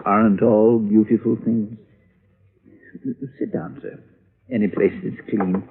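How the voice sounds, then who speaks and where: An actor playing a frail, fussy, lonely old man in a 1950s radio drama, speaking in a halting, querulous, deliberate way. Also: fairly low pitch, steady tone. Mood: wistful, fussy. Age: older. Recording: muffled old radio recording.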